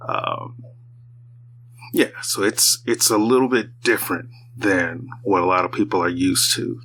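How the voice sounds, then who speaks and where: Guest horror storyteller, deep and unhurried, soft-spoken. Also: male voice, a little hiss or hum. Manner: soft-spoken, hesitant with many ums. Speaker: horror storyteller and show creator. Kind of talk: casual guest chat on a live stream.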